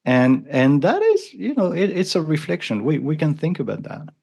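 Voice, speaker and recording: A man's voice: fairly high pitch, very animated, normal speed, vocal fry, darkish tone, husky. A man in his fifties from France with a thick French accent, a podcast guest talking earnestly and thoughtfully. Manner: earnest, thoughtful, frequent restarts. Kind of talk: casual conversation.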